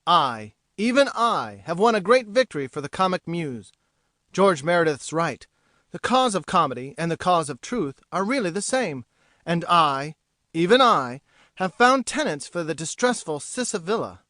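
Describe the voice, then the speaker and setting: A woman reading an audiobook, voicing a smug, teasing young gentleman who talks in an arch, lofty way. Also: fairly low pitch, very animated, normal speed, no echo, clean studio sound, voice pushed down. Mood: gleeful. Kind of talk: audiobook character voice.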